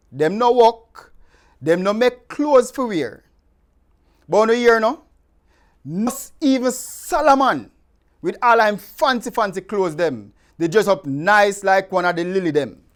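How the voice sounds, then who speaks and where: A man with a Jamaican accent reading scripture aloud to viewers in an emphatic, conversational way. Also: high-pitched. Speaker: scripture reader. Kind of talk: expressive scripture reading in Patwa, addressed to viewers.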